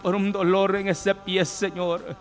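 A man's voice: high-pitched, level tone, slow. A male preacher leading a fervent Pentecostal healing prayer, pleading and exclamatory, with repeated cries of praise. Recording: music playing behind the voice. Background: music.

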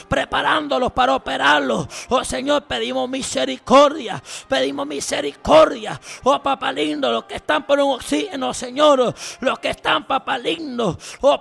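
A man's voice: very high voice, creaky, fairly bright tone, breathy edge. A man leading a fervent intercessory prayer, crying out in impassioned, repetitive pleas. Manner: impassioned, repetitive pleading cries. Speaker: prayer leader.

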